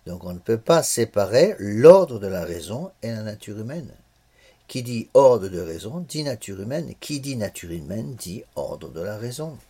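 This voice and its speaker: A man's voice: on the low side, sing-song, unhurried, dark tone, husky. A man in his sixties giving a classroom lecture on religious morals, often stumbling and repeating small words before going on, with the odd cough. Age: 60s.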